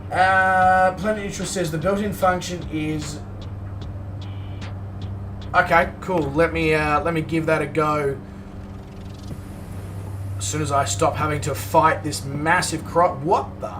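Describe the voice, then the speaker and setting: Hoarse Australian streamer, slightly off-mic. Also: male voice, on the high side, fairly even intonation, slow, long pauses, a bit bright, recorded from a distance, slight background noise. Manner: chatty, greets viewers, thinks aloud with many fillers. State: hoarse, recovering from a lost voice. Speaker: game streamer. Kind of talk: casual live-stream commentary while flying a simulator. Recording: a bit off-mic with music behind.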